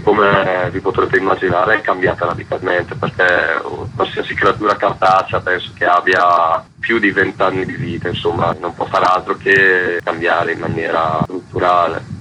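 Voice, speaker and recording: A man's voice: low-pitched, sharp tone, a little hiss or hum, rough recording. A man in his thirties giving an explanatory spoken interview. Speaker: interviewed zine and label founder.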